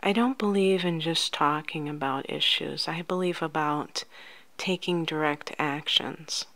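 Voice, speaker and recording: Slow, soft-spoken ASMR woman. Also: low-pitched. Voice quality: soft, often near-whispered. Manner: gentle, unhurried, soothing, addressing the listener directly. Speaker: ASMR host playing a life coach.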